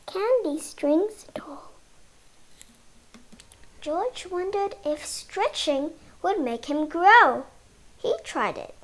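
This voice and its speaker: A female reader reading a children's picture book aloud, halting word by word in short phrases. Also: extremely high pitch, low-quality recording. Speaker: storybook reader.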